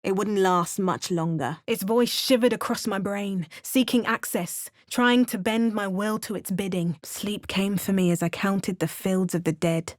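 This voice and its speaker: A young woman voicing a game character with wry, measured first-person narration. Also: medium pitch, fairly even intonation.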